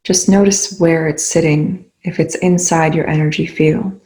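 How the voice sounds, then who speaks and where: A woman leading a guided meditation in slow, measured phrases with pauses between them. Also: low voice, fairly even intonation, darkish tone.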